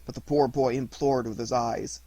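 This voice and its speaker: A man's voice: medium pitch, fairly even intonation, normal speed, mellow, dry recording. A man reading a children's story aloud as a scripted audiobook narration.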